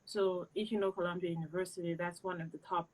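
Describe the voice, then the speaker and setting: A woman's voice: fairly low pitch, fairly even intonation, fast, darkish tone, poor audio. A young woman from Cameroon whose first language is not English, giving earnest and direct advice as an interview guest. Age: young adult. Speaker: interview guest, PhD student.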